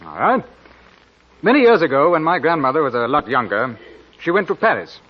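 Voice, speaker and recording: An actor playing a genial young officer, telling a tale theatrically in 1940s radio style. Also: male voice, a little high, big pitch swings, a little fast. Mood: confiding. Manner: theatrical storytelling. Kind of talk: 1940s radio acting, dramatic narration. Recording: muffled vintage radio recording, music behind.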